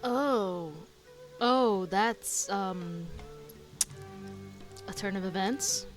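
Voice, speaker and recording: A woman streamer reacting casually and playfully to a game scene with quick interjections. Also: mid-range voice, animated. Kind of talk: casual live reaction commentary. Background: game music.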